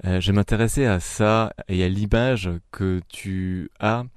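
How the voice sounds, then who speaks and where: Un homme qui mène une interview d'un ton réfléchi et mesuré, avec de nombreuses hésitations. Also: male voice, on the low side, steady tone, clean tone, no room sound, studio quality. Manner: thoughtful, measured, with frequent hesitations. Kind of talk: conversational interview.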